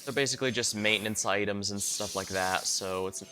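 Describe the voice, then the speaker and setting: A man's voice: fairly low pitch, brisk, noisy background. A man giving casual gameplay commentary as a streamer, explaining things in a hesitant, trailing way. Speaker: game streamer. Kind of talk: casual gameplay commentary, reads quest dialogue aloud.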